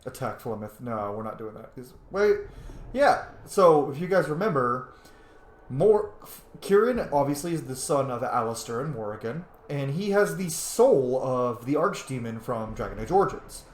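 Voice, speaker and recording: A man's voice: mid-range voice, big pitch swings, normal speed. A man giving casual, reactive, informal commentary while he plays a video game. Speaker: game streamer. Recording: echoey room.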